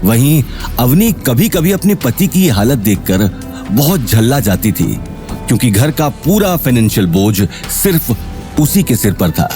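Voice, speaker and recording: A male narrator tells a scripted drama story in a mid-pitched voice. His delivery is dramatic and very animated, over soft background music. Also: measured pace.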